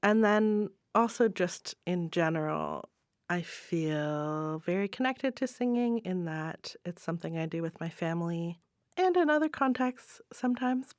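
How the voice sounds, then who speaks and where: A woman's voice: on the low side, no room sound. Thoughtful woman, close dry recording. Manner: thoughtful, measured. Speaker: playwright, interview guest. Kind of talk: reflective interview talk.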